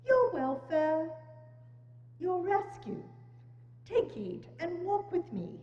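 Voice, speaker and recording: A woman's voice: very high-pitched, sing-song, slow, darkish tone, heavily acted. A woman playing a solemn, caring female spirit in a stately, declamatory stage reading. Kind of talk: theatrical dramatic reading. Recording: echoey church hall, dull sound, faint noise.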